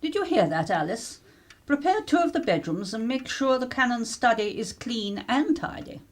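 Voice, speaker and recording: An actress plays a middle-aged upper-class English mother in a period radio drama. She is brisk and proper, with pointed remarks, a husky edge and very lively intonation. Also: fairly high pitch. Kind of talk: radio play acting.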